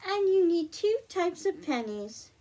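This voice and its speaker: A woman's voice: very high-pitched, very animated, unhurried, mellow. A woman hosting a children's science storytime, explaining a hands-on demonstration step by step in simple, patient phrasing. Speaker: children's librarian, storytime host.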